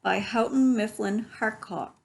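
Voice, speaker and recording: A woman's voice: medium pitch, measured pace, vocal fry, a little hiss or hum. A woman reading a picture book aloud to children as a library story reader, instructive and given to asides to her listeners.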